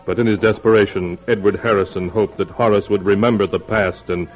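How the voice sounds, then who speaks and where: A man narrating a 1940s radio drama in a measured storytelling manner. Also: on the low side, steady tone. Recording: dull vintage radio recording with music.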